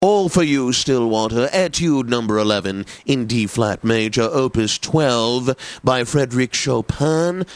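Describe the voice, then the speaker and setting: An actor playing a pompous, snobbish classical radio DJ in a video game, theatrical and jovial, with flowery diction. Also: male voice, medium pitch, animated, measured pace, hardly any pauses, no room sound, close to an everyday voice. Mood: grandly announcing.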